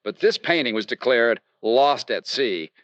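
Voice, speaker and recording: An actor playing a confident insurance investigator and wry narrator in old-time radio detective style, polite but firm. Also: male voice, mid-range voice, expressive, measured pace, fairly bright tone, no room sound, lo-fi sound.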